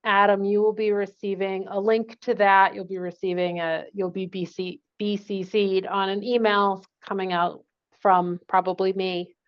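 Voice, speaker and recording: A woman's voice: mid-range voice, level tone, normal speed. A woman presenting a project at a public meeting, talking in a casual, friendly way. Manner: casual and friendly, plain conversational phrasing. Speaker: project consultant presenting to a commission. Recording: dull lo-fi meeting recording.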